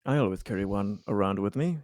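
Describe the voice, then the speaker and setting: A man takes part in a tabletop roleplaying session as a player. He speaks casually in character with a low voice, recorded close and dry. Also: animated, fast, darkish tone, dry recording.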